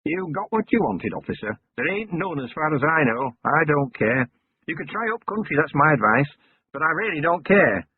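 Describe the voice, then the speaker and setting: A man's voice: fairly high pitch, big pitch swings, normal speed, slightly rough, dry recording, pitched above the performer's own voice. A man voicing a cheeky, streetwise young male goblin who talks in a slangy, offhand way. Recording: tinny phone-like sound.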